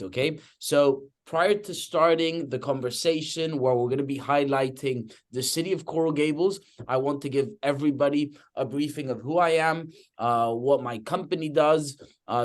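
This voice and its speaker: A young man from Madrid with a Spanish accent, hosting a real estate webinar enthusiastically and often checking in with "okay?". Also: medium pitch. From Spain (Madrid). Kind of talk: webinar presentation.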